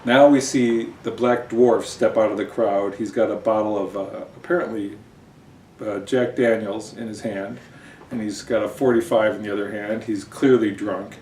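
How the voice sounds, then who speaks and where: A man narrating a comic story informally as its author, halting with wry asides. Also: lowish voice, fairly even intonation, a little mellow. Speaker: author and host reading own story. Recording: roomy, distant mic, faint noise.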